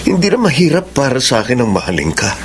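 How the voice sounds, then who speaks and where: A man acting a persistent young Filipino suitor in a radio soap, eager and a little mercenary. His delivery swings widely in pitch at a middling register, over a clearly noisy background with ambience. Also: very animated, fast talker, lightly acted. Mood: earnest pleading. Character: materialistic, persistent young man wooing the woman carrying his child.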